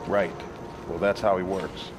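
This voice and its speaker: An actor playing a seasoned, weary soldier in a video game, speaking in a wry, matter-of-fact way. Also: male voice, sits low, level tone, unhurried, over music. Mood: wry, guarded. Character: seasoned, weary male soldier telling grim past missions. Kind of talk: video game cutscene acting. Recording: loud vehicle and outdoor noise behind.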